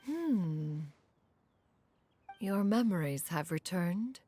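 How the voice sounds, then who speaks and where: A woman's voice: fairly low pitch, lively intonation, drawn-out words, mellow, breathy edge, faint music behind, heavily acted. A woman voicing a proud, aloof immortal sage in a video game, with formal, archaic speech. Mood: gently grave. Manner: formal, archaic, refers to self as one.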